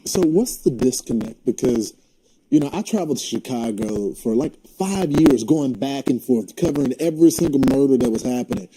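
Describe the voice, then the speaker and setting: A man's voice: medium pitch, expressive, moderate pace, noisy background. A man interviewing a guest, pressing her with pointed questions. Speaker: interviewer, reporter.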